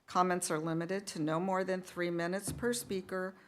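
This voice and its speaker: A woman chairing a school board meeting, reading out public comment rules in an even, measured way. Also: fairly low pitch. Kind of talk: formal meeting procedure.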